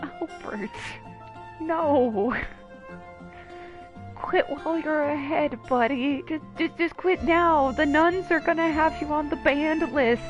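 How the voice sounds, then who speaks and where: High-voiced woman giving sarcastic game commentary. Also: slow pace, lo-fi sound. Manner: sarcastic, teasing asides. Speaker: game streamer. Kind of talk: casual playthrough commentary, reacting to dialogue. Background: video game music.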